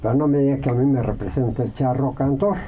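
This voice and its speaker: A Mexican man, a radio guest, answering at length in a reflective way, with many pauses and fillers. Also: mid-range voice, lo-fi sound, slight background noise.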